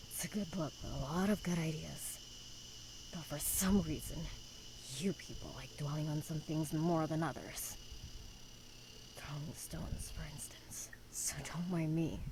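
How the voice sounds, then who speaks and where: A woman's voice: deep voice, background noise, heavily acted. A woman playing a sullen, defiant teenage girl in a visual novel, curt and sardonic.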